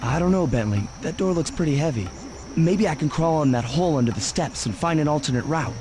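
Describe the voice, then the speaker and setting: An actor playing a cocky, loyal young raccoon thief with a laid-back, wry manner in a cartoon video game. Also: male voice, on the high side, lively intonation, a little fast, noisy background, close to an everyday voice. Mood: relaxed confidence. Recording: game music behind voice. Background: game music.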